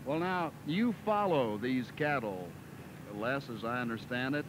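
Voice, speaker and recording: A middle-aged man interviewing as a farm-report host outdoors, asking measured, leading questions in a polished presenter's cadence. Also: medium pitch, expressive. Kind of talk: broadcast interview. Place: outdoors by a cattle pen. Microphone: handheld mic. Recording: noisy 1970s 16mm film sound, outdoors.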